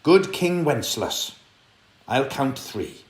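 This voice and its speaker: An eager, bold boy from a storybook, as a man acts him out. His voice is high and emphatic, with a roomy echo around it. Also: very animated, slow. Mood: eager, decisive. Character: excitable young boy carol singer, bold and eager.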